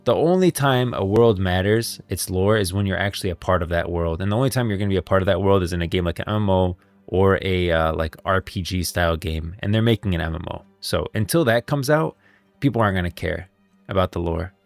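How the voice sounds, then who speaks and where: A man's voice: low-pitched, fast talker, no echo, soft background music. A man hosting a livestream, chatting casually and thinking aloud to viewers in informal, slangy language. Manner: informal slangy talk addressing viewers as guys and bros. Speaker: livestreamer and host.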